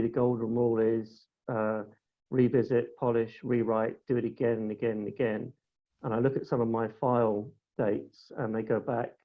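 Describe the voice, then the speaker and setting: Emphatic man on dull call audio. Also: mid-range voice. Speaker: short story writer. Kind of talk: spontaneous discussion. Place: online video call.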